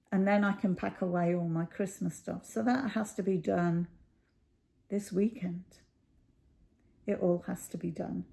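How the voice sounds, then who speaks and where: A middle-aged woman with a croaky voice, chatting casually to camera at home, rambling with many ums and pauses. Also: fairly low pitch, mellow. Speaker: cross stitch YouTuber.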